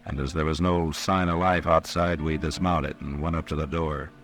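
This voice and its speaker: An actor playing a stern, weary US marshal in an old-time radio western, terse and grave. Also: male voice, very deep voice, level tone, normal speed, a bit bright. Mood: measured narration. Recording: old radio drama with music.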